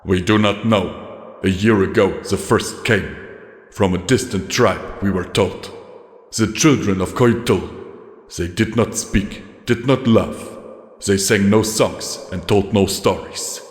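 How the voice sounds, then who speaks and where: A man voicing a weary, grim old zebra commander with a measured delivery. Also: on the low side, roomy sound, long reverb tail.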